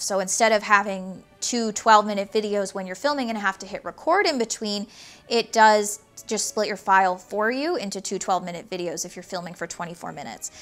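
Young woman vlogger, higher slightly nasal voice, easy explanatory delivery on a lapel mic, faint music underneath. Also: fairly bright tone. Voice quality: higher-pitched voice, somewhat nasal. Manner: chatty, explains gear step by step, gestures with her hands. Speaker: YouTube creator. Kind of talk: casual explanatory talk to camera. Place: small home studio room with shelves, indoors, not soundproofed. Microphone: small lapel mic clipped to hoodie.

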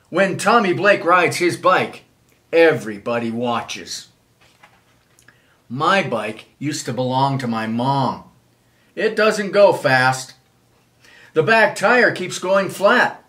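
An older man reads a children's storybook aloud in an expressive, measured way. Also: on the high side. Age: older, 70s. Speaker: children's author reading own book. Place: small wood-panelled room, indoors.

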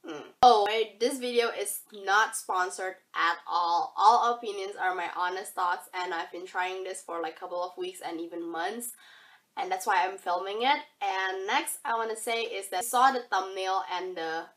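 A young woman from Indonesia, husky from a cold, chatting casually through a product review in her bedroom. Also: medium pitch, normal speed, fairly bright tone. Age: young adult. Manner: chatty, rambling, upbeat. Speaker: beauty vlogger. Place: bedroom, indoors. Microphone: camera facing her at arm's length.

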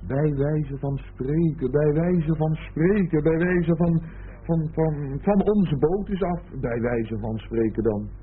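A man's voice: a little high, slow pace, a little hiss or hum. An actor in a Dutch radio play as a dreamy, teasing man who rambles playfully and philosophizes, on a muffled old recording. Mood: playful rambling. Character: dreamy, teasing idler musing about an unfinished boat.

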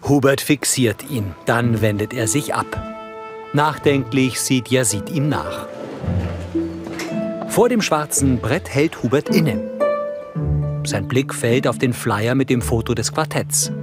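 A man's voice: medium pitch, animated, takes it slowly, long pauses. Male narrator with music behind. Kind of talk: scripted audio-description narration.

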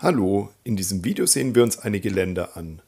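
A man teaching a German lesson, calmly naming countries and repeating example sentences for learners to follow. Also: fairly low pitch, brisk, gravelly, husky. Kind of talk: slow, clear teaching with drill repetitions.

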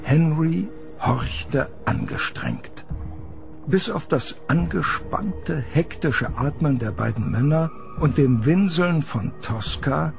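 A man's voice: a little high, vocal fry. A man narrating a scripted horror story for an audio drama. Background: music.

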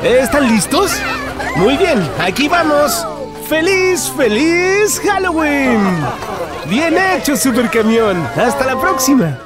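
A man voicing an excitable, friendly helper in a children's cartoon, talking in simple, encouraging exclamations. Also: very high voice, takes it slowly, full character voice. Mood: cheerful. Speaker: cartoon narrator and character. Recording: cartoon music under the voice.

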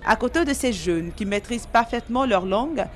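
A woman reporter reading a scripted voice-over for a TV news magazine, measured and clear. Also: on the high side, lively intonation.

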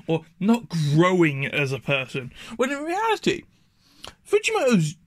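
Man, video essay narration, animated pitch, dry close recording. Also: dry recording. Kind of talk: casual scripted video essay.

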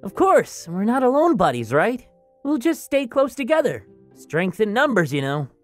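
A woman voicing a friendly, eager teenage freshman boy, chatty and upbeat, in an animated roleplay. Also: a little high, normal speed, soft background music. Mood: upbeat, joking.

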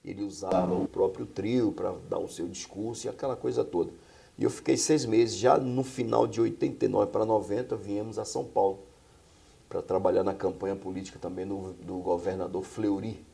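A man's voice: sits low, steady tone, moderate pace, vocal fry, dark tone. A middle-aged man from Bahia, a pastor and singer, telling his life story as a guest in a small studio. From Bahia, Brazil. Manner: earnest storytelling with frequent tag questions.

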